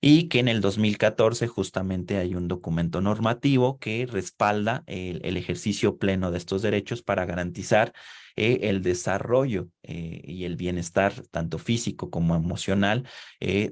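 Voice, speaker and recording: A man with a notably low, deep voice presents his research at an online seminar. He speaks haltingly, often pausing on filler sounds and restarting his phrases. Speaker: conference presenter.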